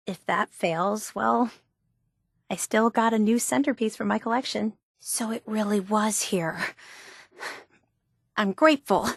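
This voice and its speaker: A woman's voice: mid-range voice, no room sound, clean studio sound. A woman playing an eager, obsessive young superfan and tour guide in a video game, gushing with earnest, geeky enthusiasm. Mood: earnest, guarded, then hurt, angry. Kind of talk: video game character dialogue.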